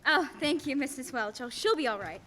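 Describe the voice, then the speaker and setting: A patient, worried wife and mother in a radio drama, played by a woman. Her voice is high and bright, in a reverberant hall. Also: lively intonation, brisk, slight background noise. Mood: soothing. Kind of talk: old-time radio play acting.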